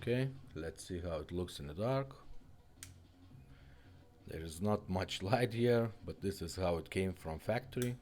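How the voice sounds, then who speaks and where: A man giving a casual product demonstration in halting, plain English. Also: deep voice, expressive, darkish tone, soft background music.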